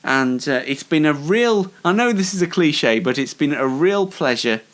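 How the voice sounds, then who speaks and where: A man's voice: fairly high pitch, expressive, moderate pace. A man hosting a casual comedy show, rambling in a self-deprecating, mock-formal way. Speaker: show host.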